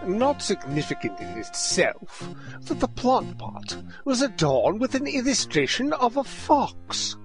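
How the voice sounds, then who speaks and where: An actor playing a pompous male detective, telling his exploits theatrically and deliberately in a comic radio play. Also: high voice, wildly swooping pitch, over music, full character voice. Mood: deadpan recounting.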